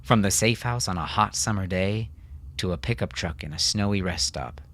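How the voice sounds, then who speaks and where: A man's voice: sits low, moderate pace, a bit bright. A man narrating a scripted story as an audiobook narrator.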